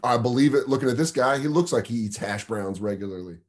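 A comedian riffs and laughs through crude podcast banter. His pitch swings widely as he gets animated, and he speaks into a studio mic over a video call. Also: quick pace. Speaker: comedian guest.

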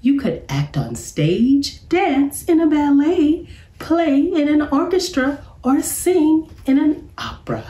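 A cheerful storybook bear professor, read by a woman, explains things to children with emphasis and encouragement. The delivery is slow and bouncy in pitch, with a roomy echo. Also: expressive, dark tone, distant mic. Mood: encouraging. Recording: roomy, echoey sound.

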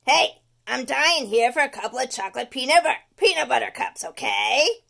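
A woman voicing a greedy, wisecracking male sweet tooth in a storybook, loud and pushy. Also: high-pitched, expressive, moderate pace, gravelly, exaggerated voice.

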